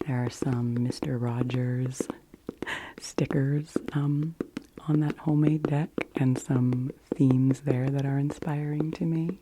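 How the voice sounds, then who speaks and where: Female ASMR host, breathy whisper, very low and slow, gentle casual explaining. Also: steady tone, slight background noise, rough recording.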